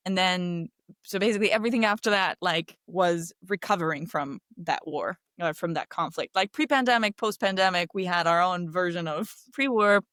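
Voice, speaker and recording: A woman's voice: fairly low pitch, a bit bright, no echo, studio quality. A woman in her thirties from Kosovo whose first language is Albanian, speaking as a podcast guest in a reflective, casual way, often adding "like" and "right?". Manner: reflective, peppers speech with "like" and "right?". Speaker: podcast guest, game designer. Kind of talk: casual interview chat.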